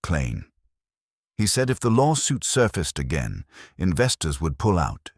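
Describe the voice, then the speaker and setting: A man as tense woman suspect, indignant, faltering, low-pitched, dry sound, audiobook detective story. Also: huge pitch swings, measured pace, no room sound, full character voice. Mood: shaken, confessing. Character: tense, defensive woman suspect cornered in mystery.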